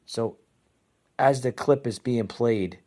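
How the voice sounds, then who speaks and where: A man's voice: mid-range voice, takes it slowly, darkish tone. A man from New Jersey hosting a podcast, ranting through political commentary, calling listeners "folks" and stumbling over repeated words. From New Jersey, USA. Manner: repetitive restarts, stammering repeats. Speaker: podcast host.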